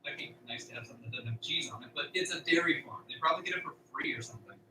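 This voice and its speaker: A man's voice: a little high, racing, lightly acted. Man acting a skeptical, exasperated farm worker. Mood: dismissive. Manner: dismissive, sardonic. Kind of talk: amateur radio drama dialogue. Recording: roomy, distant mic, noisy background.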